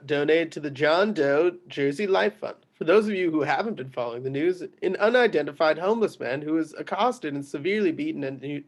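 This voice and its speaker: A man's voice: a little high, natural voice. A man playing a parish priest in a script table read, formally rattling off announcements to the congregation.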